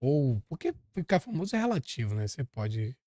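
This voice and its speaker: A man from Salvador in Bahia, Brazil, hosting a podcast in relaxed casual chat. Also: mid-range voice, brisk, dry recording, clean studio sound. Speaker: podcast host.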